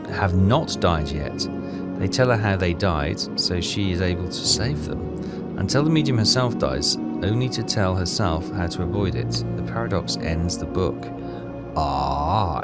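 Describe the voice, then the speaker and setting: Deep-voiced man musing slowly over a game. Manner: musing, halting, self-questioning. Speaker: let's player. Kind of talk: thinking aloud through a puzzle game. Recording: loud game music behind voice. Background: game music.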